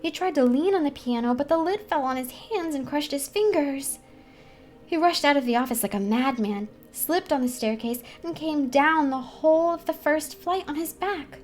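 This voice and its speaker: A woman's voice: high-pitched, lively intonation, normal speed, pitched above the performer's own voice. A woman voicing a frightened, superstitious young ballet girl, chattering insistently in a breathless, gossipy way for a storybook reading. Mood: breathless gossip.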